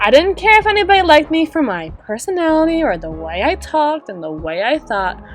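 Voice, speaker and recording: A woman in an ASMR roleplay voicing a gruff, guarded drider girl bouncer in her twenties, wry and brusque with exasperated sighs. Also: sits high, big pitch swings, a little fast. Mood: wounded, confessional. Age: 20s. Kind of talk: ASMR roleplay audio drama. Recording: music playing in the middle distance. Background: club song playing in the middle distance.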